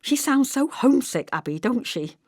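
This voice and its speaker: A woman's voice: high-pitched, very animated, moderate pace, darkish tone, no room sound, clean studio sound, voice pushed up. A woman voicing a homely, fretful middle-aged mother, earnest and fussing, in an audiobook. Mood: worried.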